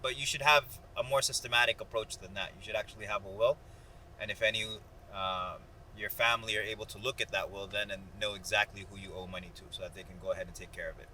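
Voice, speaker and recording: Male car-vlog host, bright voice, casual and slangy explainer, faint road noise. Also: lowish voice, steady tone, quick pace, rough recording. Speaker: host of a ride-along video. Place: inside a moving car. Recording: clear car and outdoor noise.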